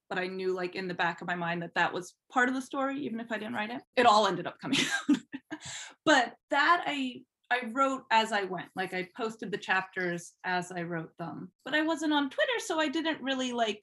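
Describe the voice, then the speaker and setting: Woman podcast guest, chatty n informal, kinda self-deprecating, mid pitch. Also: a bit bright. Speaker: podcast guest, fan fiction writer. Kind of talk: casual interview chat.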